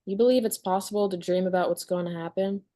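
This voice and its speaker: A woman's voice: medium pitch, steady tone, fast, dark tone, lightly acted. A woman playing a weary, anxious, stubborn teenage girl in a table read of a horror script. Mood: wry, probing.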